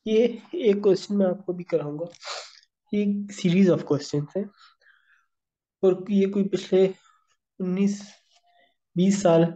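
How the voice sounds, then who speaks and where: A man's voice: sits high, slow pace, long pauses, mellow, highs cut off. A man teaching chemistry over an on-screen worksheet, working through problems step by step. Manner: explains step by step, addresses students as beta, mixes English terms into Hindi. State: slightly sore throat. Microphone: voice-over on screen recording.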